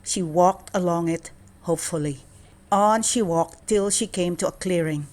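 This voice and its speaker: Measured woman reading a fairy tale aloud. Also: fairly low pitch, darkish tone. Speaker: storyteller. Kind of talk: read-aloud children's fairy tale narration.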